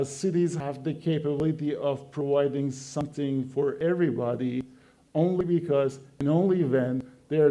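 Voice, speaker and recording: Halting man giving a conference talk. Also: fairly high pitch, gravelly, mellow. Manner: halting, many fillers and restarts. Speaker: conference speaker. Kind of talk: conference presentation. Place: conference hall.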